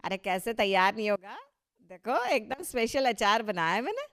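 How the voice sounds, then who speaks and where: A woman's voice: fairly high pitch, sing-song, moderate pace, fairly bright tone. A woman playing a proud, touchy older mother-in-law in a Hindi cartoon story, emphatic and boastful with a scolding edge. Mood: boastful, indignant.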